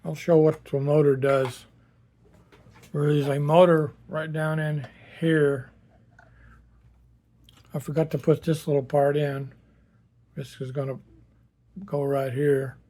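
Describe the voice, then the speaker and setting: A man with a slightly high-set voice and very flat intonation talks through a homemade project. He is casual and unscripted, matter-of-fact, and a little halting as he explains. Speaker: hobbyist builder presenting a project.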